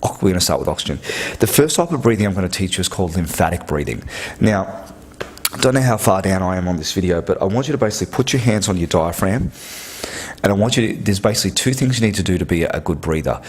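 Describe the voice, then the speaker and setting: A man with a deep, low-pitched voice that has a husky edge to it. He talks straight to the listener like a health coach, earnest and direct, walking through each step and repeating key points. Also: lively intonation, fast talker. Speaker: health clinic practitioner. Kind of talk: instructional talk to camera.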